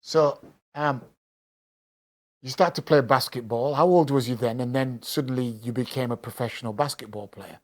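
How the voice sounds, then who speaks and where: A man hosting a local television interview, with a voice of ordinary pitch. He speaks plainly and asks several questions in a row. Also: moderate pace. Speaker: presenter and interviewer.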